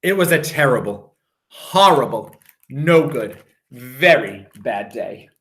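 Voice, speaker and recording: A man, a teacher, reading a children's picture book aloud with expressive, drawn-out emphasis on repeated phrases. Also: medium pitch.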